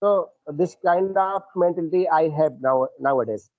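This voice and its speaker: High, halting man on a tinny line. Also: no echo. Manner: hesitant, halting, with many fillers and restarts. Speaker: English learner. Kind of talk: conversation practice call. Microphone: online call. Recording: tinny phone-like dry line.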